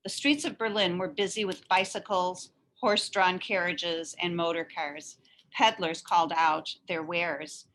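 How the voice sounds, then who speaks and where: A woman reading a children's story aloud in an expressive, animated narrating style. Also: fairly low pitch, a little slow, rough recording. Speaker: story reader.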